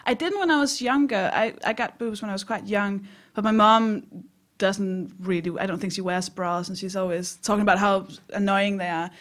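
A woman's voice: medium pitch, moderate pace. A woman co-hosting a comedy podcast, telling a personal story live in a conversational, self-deprecating way. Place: small live comedy venue.

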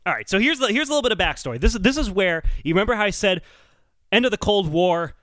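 A man co-hosting a podcast, telling researched stories in a casual, animated chat. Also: high-pitched, quick pace, dry recording. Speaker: podcast co-host.

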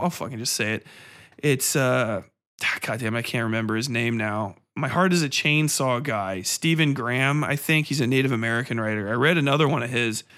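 A man's voice: on the high side, a bit bright. A man hosting a casual podcast, ranting bluntly and profanely in an exasperated, complaining way. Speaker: podcast host.

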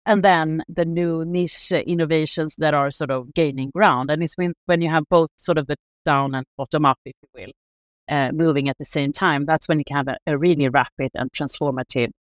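A middle-aged woman from Sweden, a sustainability researcher, answering interview questions in a soft, measured way with many fillers. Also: deep voice, fairly even intonation, dry recording, studio quality.

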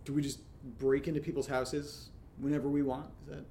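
A mellow-voiced, awkward man played by a male actor in a horror audio drama. He is hesitant and understated, with a soft dark tone over faint noise and rumble. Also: flat delivery, a little fast, close to an everyday voice. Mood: dry, questioning. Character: awkward, guilty, reserved male friend, dryly questioning.

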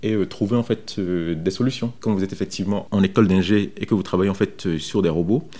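A man from Benin talks in a deep, mellow voice. He gives an informal account of his working life as a developer. His delivery is hesitant, often pausing and doubling back on words. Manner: hesitant, halting delivery with frequent repetitions. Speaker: software developer interviewed about his career. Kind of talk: informal first-person career account.

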